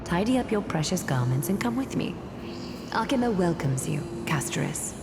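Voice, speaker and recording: A woman voice-acting a regal, composed, coolly commanding weaver of fate in a video game, speaking in a stately, deliberate way. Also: fairly low pitch, sing-song, background noise. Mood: cool, resolute. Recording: echoing voice over clear background ambience.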